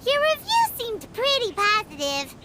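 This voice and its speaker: A woman voicing a tiny, childlike, excitable fairy companion in a video game, squeaky and blunt in manner. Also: moderate pace, very noisy, exaggerated voice. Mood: opinionated. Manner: excitable, blunt, refers to herself in third person. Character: tiny floating childlike fairy companion, perky food-loving chatterbox.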